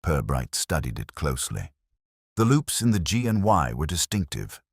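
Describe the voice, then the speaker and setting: Male story narrator, very deep register, measured and wry, expressive intonation. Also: breathy edge, no room sound, clean studio sound. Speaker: narrator of audio mystery story.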